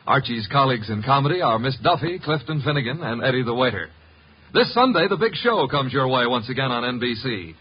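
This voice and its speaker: A man's voice: medium pitch, big pitch swings, a little fast, slightly rough. A man announcing and narrating in 1950s radio style, polished and theatrical. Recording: muffled old radio broadcast. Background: music.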